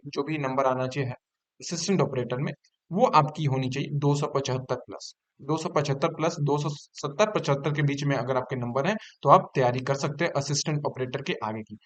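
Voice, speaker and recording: Higher-pitched man explaining. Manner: repeats figures and key points for emphasis. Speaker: online exam-information presenter. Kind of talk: informal explanatory talk to exam candidates.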